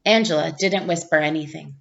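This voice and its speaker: A woman's voice: deep voice, brisk. Woman reading a picture book, slightly muffled sound. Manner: animated character voices, clear storytelling emphasis. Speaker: teacher reading aloud. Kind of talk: expressive children's picture book read-aloud.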